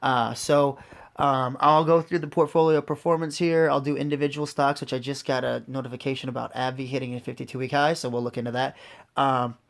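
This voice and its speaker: A man giving a casual, unscripted video walkthrough, his voice raspy as it recovers from being lost, speaking informally straight to viewers. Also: medium pitch, fairly even intonation, a little fast. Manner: informal, addresses viewers directly. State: recovering from a lost voice, occasional throat clearing. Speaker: host of an investing video series.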